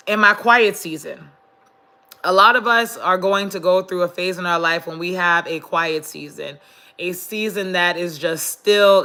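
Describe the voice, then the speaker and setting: A woman vlogger chatting casually on a live stream, raspy and congested as she loses her voice, greeting viewers and using many fillers. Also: fairly low pitch, pushed and bright. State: congested, losing voice.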